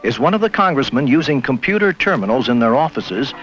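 A man's voice: mid-range voice, sing-song, fairly quick, a bit bright. A man reading scripted narration for an educational documentary, on an old film soundtrack. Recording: dull 1980 film soundtrack. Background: faint music.

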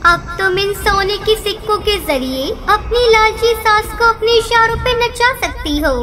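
A woman's voice: very high voice, animated, unhurried. A woman voicing a kind, brave young female ghost bird in a cartoon, speaking earnestly. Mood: reassuring. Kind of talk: Hindi moral-story cartoon dubbing.

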